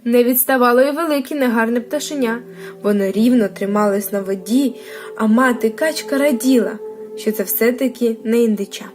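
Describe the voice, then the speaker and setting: A woman's voice: on the high side, moderate pace, a little mellow. A woman reading a scripted children's bedtime story as a storyteller. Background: music.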